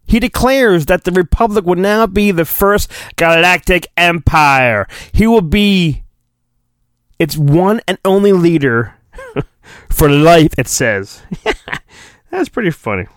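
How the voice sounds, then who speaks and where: A man's voice: high voice, expressive, slow pace, no room sound, studio quality. A man hosting a podcast, giving a hammy, irreverent storybook reading with joking asides. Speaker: podcast host.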